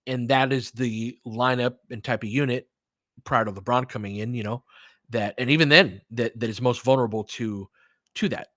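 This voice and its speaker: Casual man talking hoops, bright voice. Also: mid-range voice, moderate pace, dry recording, clean studio sound. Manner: conversational, informal slang, thinking aloud. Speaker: sports podcast host. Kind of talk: casual live basketball commentary, replying to chat.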